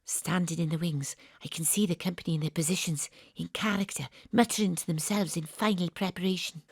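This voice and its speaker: An actress playing a wry, cocky woman detective who narrates her case in a deadpan, confiding audio drama style. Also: on the low side, a little fast, breathy edge, no room sound. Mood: conspiratorial.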